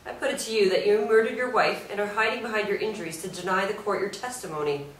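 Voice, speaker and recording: An actress in a staged play reading playing an accusing, formal defence lawyer, recorded in a roomy space. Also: female voice, medium pitch, fast, close to an everyday voice. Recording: roomy, distant mic with faint noise.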